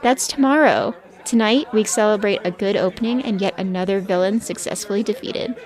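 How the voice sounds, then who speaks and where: A woman's voice: mid-range voice, a little fast, darkish tone, close to an everyday voice. A woman playing an easygoing, upbeat teammate in audio drama dialogue. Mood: cheerful, reassuring.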